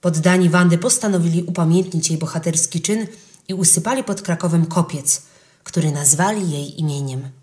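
Female storyteller with a fairly low voice, calm, clear storybook read for kids. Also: normal speed. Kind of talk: scripted narration of a legend for children.

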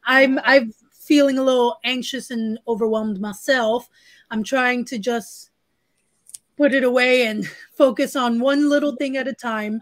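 A woman's voice: fairly high pitch, steady tone, unhurried, no room sound. A woman hosting a live writing stream from home over a video call, chatting casually, reading out comments and laughing often. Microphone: webcam on a video call.

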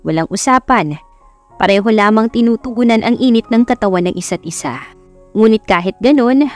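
Filipino woman reading a love story audiobook, dramatic narration, normal pitch, music in back. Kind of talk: scripted dramatic romance narration. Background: background music.

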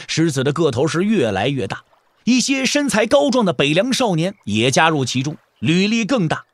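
A man's voice: a little high, no echo. A man narrating a wuxia audio drama in a dramatic, scripted storytelling style.

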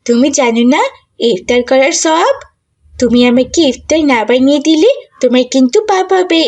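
A woman's voice: high-pitched, a little mellow, lightly acted. A woman voicing a lazy, cheeky cartoon schoolgirl who whines and pleads to get out of work. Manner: whiny, pleading, argumentative. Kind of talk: cartoon dialogue acting.

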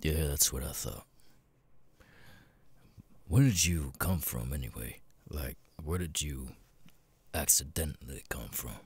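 A man voicing a gruff, jaded cat demon bartender in an ASMR roleplay, muttering and swearing wearily with hesitant pauses in a deep, gravelly rasp. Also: dry recording, full character voice. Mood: curious, wry.

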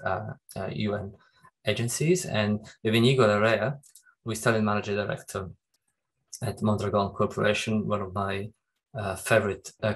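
Gravelly low-voiced Italian man in his fifties. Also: fairly bright tone. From Italy. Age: 50s. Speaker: webinar moderator. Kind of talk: introducing a panel discussion, semi-formal and conversational. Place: music room at home. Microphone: video call.

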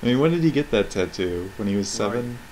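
A man's voice: fairly low pitch, lively intonation, fast talker, darkish tone, poor audio. A man giving casual, unscripted commentary on video game cutscenes in a rambling, questioning, critical way. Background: game cutscene audio and music.

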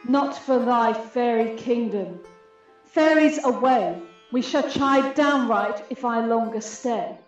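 A proud, aggrieved fairy queen acted by a woman declaims Shakespearean radio verse in a stately, unhurried way. Her voice sits fairly high and rings with very heavy echo, slightly muffled, with music underneath. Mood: cold, defiant. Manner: stately, measured, declamatory delivery.

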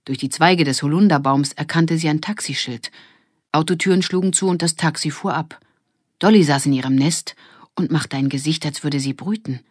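Female audiobook narrator, deep register, children's story reading, very dry recording. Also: moderate pace, slightly rough, no echo.

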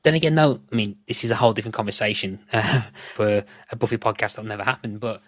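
Low-voiced British man, close dry sound. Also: fast talker, no room sound. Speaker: podcast host. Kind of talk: casual discussion of a TV episode.

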